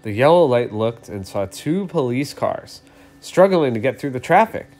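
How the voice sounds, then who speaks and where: A man's voice: medium pitch, lively intonation, moderate pace, a little mellow, soft background music. A man in his thirties reading a children's story aloud expressively, as a storyteller.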